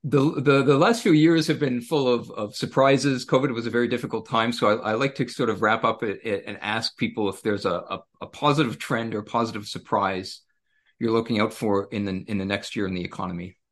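Low-voiced male interviewer, relaxed and joking. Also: fairly quick. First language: English. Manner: relaxed, jokey, hesitant with fillers. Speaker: interview host. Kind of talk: interview moderating.

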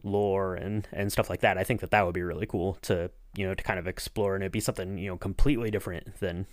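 A man hosting a podcast in casual chat, talking in a halting, rambling way. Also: low-pitched, steady tone, no room sound, clean studio sound. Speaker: podcast host and interviewer.

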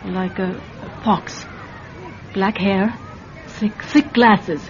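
An earnest, anxious immigrant mother in an old radio drama. She sounds wary and pleading, with a medium pitch, on a dull recording with light noise. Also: steady tone, very slow, a faint crowd. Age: adult.

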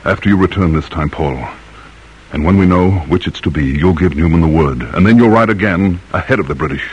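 An actor playing a grave, eloquent colonial-era doctor and patriot in an old radio drama, speaking in a measured, solemn way. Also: male voice, low voice, highs cut off, a little hiss or hum, lightly acted. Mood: instructing.

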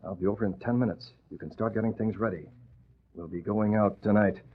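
An actor playing a brisk, confident newspaper boss who is secretly a crimefighter, in smooth 1940s radio style. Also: male voice, on the low side, close to an everyday voice. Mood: brisk, commanding. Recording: muffled vintage radio drama recording.

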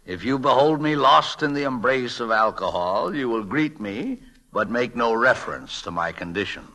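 Older male telegraph operator: grandiloquent, formal, bright-voiced, vintage radio drama. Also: mid-range voice, expressive, moderate pace. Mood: grave, dignified. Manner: florid, grandiloquent, courtly phrasing. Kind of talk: 1940s-50s radio drama acting.

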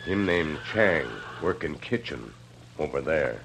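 Stoic native horse wrangler, old radio western, grunty broken english, really noisy recording. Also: male voice, a bass voice, animated, a little slow, a bit bright, full character voice.

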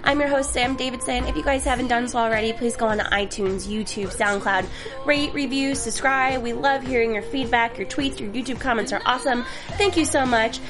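A woman's voice: a little high, fairly quick, over music. A woman hosting a casual TV after-show, a little congested from a cold, chatty and enthusiastic. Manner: chatty, enthusiastic, many fillers. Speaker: host.

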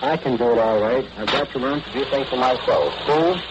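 A man's voice: medium pitch, brisk, lo-fi sound, background noise. A man speaking on a 1940s wartime radio broadcast from the field.

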